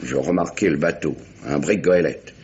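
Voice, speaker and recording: Un acteur jouant un capitaine de navire marchand anglais, bourru et impatient, au parler direct et familier, dans une dramatique radio policière française. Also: male voice, a bass voice, moderate pace, darkish tone. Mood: matter-of-fact recounting. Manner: blunt, colloquial, grumbling. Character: gruff, impatient male English merchant sea captain. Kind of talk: French radio mystery drama acting. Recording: dull, noisy vintage radio recording.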